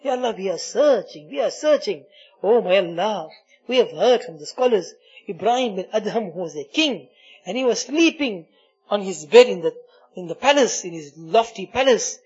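A man with a husky, rough-edged voice leads a spoken prayer on a muffled, lo-fi recording. He pleads in short repeated phrases, moving between hushed invocations and loud, impassioned appeals. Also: high voice, expressive, normal speed. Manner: swings from hushed murmurs to loud cries. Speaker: religious leader leading a supplication. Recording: muffled, dull lo-fi audio.

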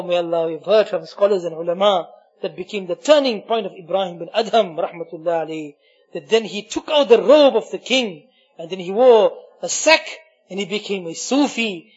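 Husky, pleading man in impassioned prayer. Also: high voice. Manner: pleading, repeats phrases and invocations; swings from hushed murmurs to loud cries. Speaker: religious leader leading a supplication. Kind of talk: impassioned spoken Islamic prayer. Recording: muffled, dull lo-fi audio.

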